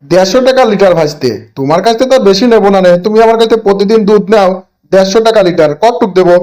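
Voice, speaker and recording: Guy doing a shifty old milkman in a cartoon, high and quick, all defensive. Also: darkish tone, natural voice. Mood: defensive, wheedling. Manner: indignant, insistent sales patter. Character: defensive older male milkman, slyly dishonest. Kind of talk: comic cartoon dialogue.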